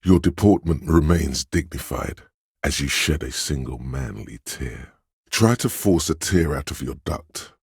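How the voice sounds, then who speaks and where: Suave inner voice of suggestion, bottomless bass, dry close sound, coaxing, knowing, video game narration. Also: male voice, animated, no echo, full character voice. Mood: wry, measured. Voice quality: very deep, husky. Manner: measured, knowing, gently coaxing. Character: inner voice of suggestion, a suave, insinuating male persuader. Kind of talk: scripted video game narration in second person.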